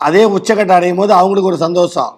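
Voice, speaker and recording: A man's voice: high-pitched, fairly even intonation, fast talker, a little mellow. A man with a Tamil Nadu accent, a doctor advising a caller in a blunt, explanatory way during a phone-in consultation.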